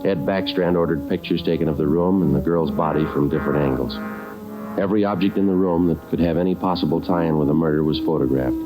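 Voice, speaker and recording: An actor playing a stoic police detective in a 1940s radio crime drama, speaking in terse, clipped, deadpan lines. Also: male voice, a bass voice, fast talker, poor audio. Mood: deadpan, grim. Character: stoic male police detective narrating a manhunt. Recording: old radio recording with loud music behind.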